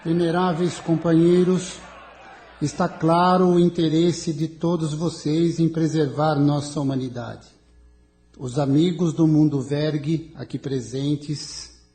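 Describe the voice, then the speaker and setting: A man playing a solemn, benevolent leader of an alien council in an audio drama, speaking in a measured, formal way. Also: a little high, steady tone, a little mellow, faint music behind. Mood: solemn, concerned.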